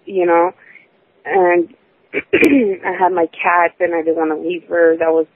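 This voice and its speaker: A woman in her twenties calling in to a talk show. She tells her story haltingly, restarting and correcting herself often. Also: on the low side, flat delivery. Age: 20s. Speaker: caller. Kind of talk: personal account on a call-in show. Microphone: phone. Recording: tinny phone line.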